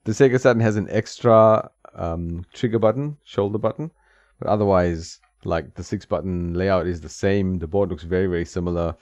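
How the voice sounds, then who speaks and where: A man's voice: deep voice, normal speed, darkish tone, no echo. A man streaming retro games, chatting casually and thinking aloud with lots of ums and small laughs. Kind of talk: casual gameplay commentary. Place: home streaming setup. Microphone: desk mic.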